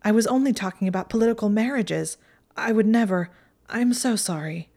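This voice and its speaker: A woman voicing an earnest, clever young witch in a storybook reading, speaking in rushed, pleading apologies. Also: mid-range voice, darkish tone, lightly acted.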